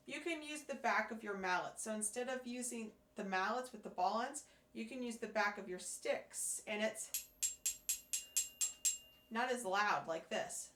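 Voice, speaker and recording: Woman, hoarse voice, fairly high, patient teacher, roomy echo. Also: noisy background. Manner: patient, step-by-step explaining with fillers. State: hoarse, losing her voice. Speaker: music teacher. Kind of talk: instructional demonstration. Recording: echoey room.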